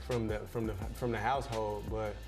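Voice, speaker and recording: A man's voice: on the low side, flat delivery, brisk. A seventeen-year-old man chatting casually and slangily in an interview as a basketball player, often repeating his phrases. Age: teenager, seventeen. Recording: music bed behind the voice.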